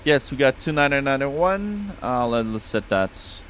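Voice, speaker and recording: A man's voice: mid-range voice, moderate pace. Man, Russian accent, easygoing streamer chat, faint hum behind. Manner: relaxed, chatty, reads back radio calls. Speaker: flight sim streamer. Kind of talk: casual live-stream chat with radio calls.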